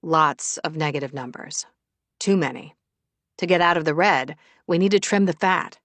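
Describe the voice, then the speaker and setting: A woman's voice: low-pitched, normal speed, a bit bright, no echo. A woman voicing a confident, matter-of-fact male number cruncher in an audiobook. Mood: businesslike. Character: reserved, businesslike, confident man, a numbers person.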